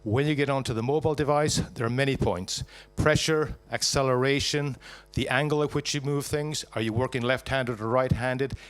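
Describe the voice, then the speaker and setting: A Scottish man giving a live startup pitch to an audience, jokey and punchy, asking and answering his own questions. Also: steady tone, moderate pace, clear, resonant tone. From Scotland.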